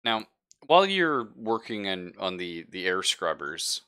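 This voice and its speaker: A man acting as game master, narrating casually and haltingly as he thinks aloud. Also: low voice, big pitch swings, bright, forceful tone, clear, resonant tone. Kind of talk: casual narration of a tabletop roleplaying game, live stream.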